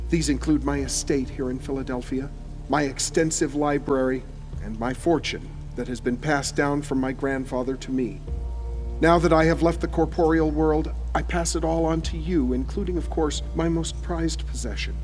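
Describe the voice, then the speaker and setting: A man's voice: medium pitch, a little mellow, natural voice. Man acting a solemn, dying old writer, music behind. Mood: solemn, pleading. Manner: solemn, formal, literary phrasing. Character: aged dying male writer, solemn, penning a final warning. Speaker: narrator. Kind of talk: scripted dramatic narration for audio horror drama.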